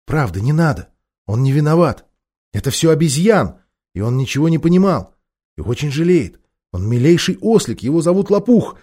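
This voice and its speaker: A man voicing a plucky, quick-witted young girl in a children's fantasy story, eager, mischievous and youthful in manner. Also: fairly high pitch, sing-song, warm tone, breathy edge. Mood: pleading. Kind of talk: children's fantasy audiobook character.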